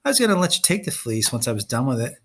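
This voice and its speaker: A man's voice: mid-range voice, big pitch swings, racing. A man reading a storybook as a menacing, sneering young male villain who taunts and gives orders. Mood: sneering, irritated. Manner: taunting, commanding.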